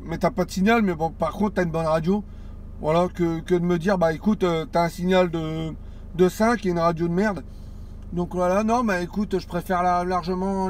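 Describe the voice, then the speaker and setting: A man's voice: high-pitched, darkish tone, a little hiss or hum. A man around forty chatting casually as a CB radio operator, rambling and repeating his phrases. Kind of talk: casual radio contact chat.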